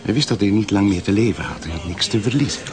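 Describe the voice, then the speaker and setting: Man playing eager male detective's sidekick, Flemish accent, low voice, florid delivery, audio drama. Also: expressive, quick pace, close to an everyday voice. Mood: eager, knowing.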